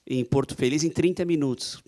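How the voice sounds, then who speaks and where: A man's voice: a little high, fairly quick, mellow. A man with a Brazilian accent moderating a forum panel in a conversational way, stringing one question after another. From Santos, Brazil. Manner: inquisitive, strings questions together.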